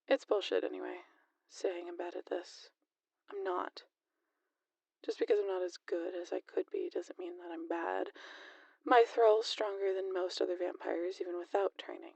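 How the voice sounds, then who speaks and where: A woman's voice: lowish voice, creaky, a little mellow, husky, no room sound, heavily acted. A woman playing a teasing, sarcastic, foul-mouthed vampire in a scripted roleplay audio drama, with playful, exasperated banter. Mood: defensive.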